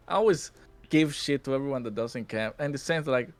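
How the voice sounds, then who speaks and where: A man's voice: medium pitch, normal speed. A man hosting a casual chat over a voice call, swearing freely and acting out voices. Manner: swears a lot, acts out voices, lively. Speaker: podcast host and streamer. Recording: voice call with lofi music behind. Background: lofi music.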